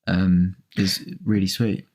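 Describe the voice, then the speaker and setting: Male co-host, fairly low pitch, informal chatty commentary, hesitant delivery with restarts as he thinks aloud. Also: level tone, slow, darkish tone. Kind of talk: casual chat, film commentary.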